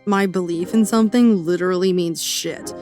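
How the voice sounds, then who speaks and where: A woman's voice: lowish voice, normal speed, warm tone, soft background music, close to an everyday voice. A woman acting a brash, foul-mouthed believer in an audio drama, blunt and sarcastic. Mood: defiant, heated.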